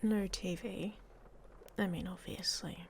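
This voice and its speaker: A woman playing a guarded, hot-tempered young werewolf in an ASMR roleplay, speaking in breathy half-whispers with a hesitant, dryly blunt manner. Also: lowish voice, steady tone, slow pace. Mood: subdued, reflective. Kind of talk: ASMR roleplay audio story, intimate monologue. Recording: faint background noise.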